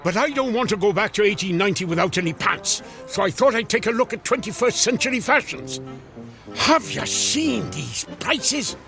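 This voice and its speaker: An actor playing a flustered, crotchety visitor from 1890, grumbling in bewilderment at modern things in a comic radio drama. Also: male voice, high-pitched, big pitch swings, moderate pace, creaky, pushed and bright, faint music behind, exaggerated voice. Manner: alarmed, grumbling, old-fashioned bewilderment.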